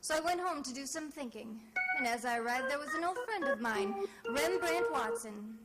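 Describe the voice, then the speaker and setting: A woman's voice: sits high, animated, normal speed. An actress playing a breezy, shrewd female private eye in old-time radio detective drama, wry, glib and teasing. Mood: wry narrating. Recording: vintage radio drama recording with music.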